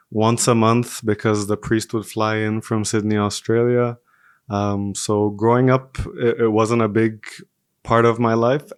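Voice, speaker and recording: A man's voice: lowish voice, smooth, dry recording, clean studio sound. A man in his twenties from Singapore, speaking casually and conversationally as a podcast guest. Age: 20s. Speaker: podcast guest, medical student.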